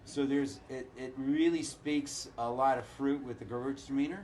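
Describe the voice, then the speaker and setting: A hoarse middle-aged man, a winemaker, talking casually and haltingly with many filler uhs. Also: medium pitch, steady tone, darkish tone, a little hiss or hum. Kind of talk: casual chat.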